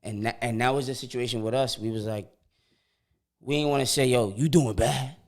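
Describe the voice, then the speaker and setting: A young man in his twenties chats on a podcast in relaxed slang and tells stories with energy. He stumbles and repeats words as he gets going, and he acts out quotes. His voice sits at an ordinary middle pitch for a man. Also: moderate pace. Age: 20s. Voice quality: repeats words when starting sentences. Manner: animated storytelling, slangy, often acts out quotes. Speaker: podcast co-host. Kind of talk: casual chat.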